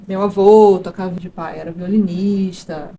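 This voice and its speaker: A woman's voice: fairly low pitch, steady tone, normal speed, mellow, slight background noise, low-quality recording. A woman, a guest chatting casually in an interview, rambling with fillers and restarts. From Brazil. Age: 30s. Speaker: guest actress and producer.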